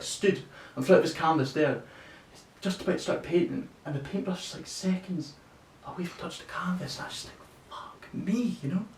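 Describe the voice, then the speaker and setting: A nineteen-year-old man playing a sweary, awestruck young lad, telling a story in a halting, conversational stage monologue. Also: gravelly, darkish tone. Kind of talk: naturalistic contemporary stage monologue for an audition. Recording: echoey room, distant mic, noisy rumble.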